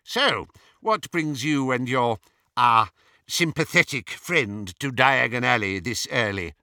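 Man acting a pompous, drawling dark wizard. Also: mid-range voice, expressive, slow pace, no echo, clean studio sound, heavily acted. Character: suspicious, snobbish male dark wizard, disdainful and formal. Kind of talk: audiobook character voice.

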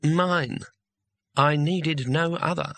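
Man voicing a calm, commanding old wizard. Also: fairly high pitch, vocal fry, no echo, heavily acted. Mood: firm. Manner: measured, quietly authoritative.

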